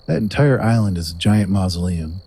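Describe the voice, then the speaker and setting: An actor playing a dry, wry, laid-back man with a husky voice in an audio drama. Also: low voice, animated, brisk, dark tone, full character voice. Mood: pensive.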